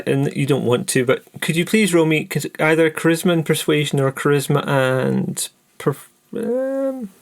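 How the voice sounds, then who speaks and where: Creaky-voiced game master, higher-pitched man. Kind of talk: improvised tabletop game narration and casual table talk.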